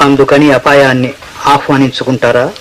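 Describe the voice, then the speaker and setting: A man's voice: medium pitch, flat delivery, a little fast, a bit bright, lightly acted. A man playing a cautious, learned court musician in a radio play, with a formal, courtly, eloquent delivery. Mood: wary warning. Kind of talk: Telugu radio play acting. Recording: noisy old radio play recording.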